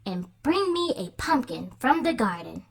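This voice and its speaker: A woman voicing a kind, gentle fairy godmother in a storybook, with a soft, breathy, soothing delivery. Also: fairly high pitch, sing-song, measured pace, pitched above the performer's own voice, exaggerated voice. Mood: gentle, reassuring.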